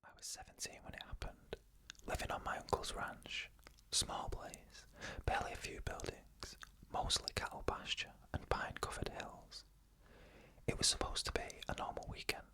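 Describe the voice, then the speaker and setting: ASMR narrator, unvoiced whisper throughout, crisp bright breath, hushed and steady. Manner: slow, even, hushed reading of first-person tales. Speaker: storyteller.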